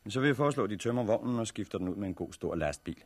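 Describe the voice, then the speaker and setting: A man acting in a 1960s radio drama as a brisk, authoritative survivors' leader with a commanding, matter-of-fact manner. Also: on the low side, animated, dry recording. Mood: brisk, businesslike. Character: brisk, authoritative male organizer of disaster survivors.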